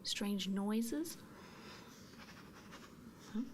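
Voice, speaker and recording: A noticeable noise bed sits under a woman's close, breathy whisper. She speaks very slowly, playing a gently inquisitive service technician in an ASMR roleplay, with soft murmured hums. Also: mid-range voice. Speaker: ASMR performer.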